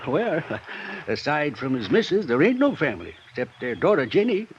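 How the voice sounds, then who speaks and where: An actor playing an old, shrewd prospector who has lived alone in the mountains for decades, talking in a drawling rural dialect, in a 1950s radio drama. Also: male voice, on the high side, very animated, normal speed, breathy edge, exaggerated voice. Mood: folksy. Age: older. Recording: dull old radio broadcast with faint hiss.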